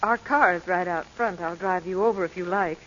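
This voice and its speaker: A woman playing a gentle, anxious wife and mother in a 1940s radio drama. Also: fairly low pitch, brisk, lightly acted. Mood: subdued, sad. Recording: dull vintage radio recording with music.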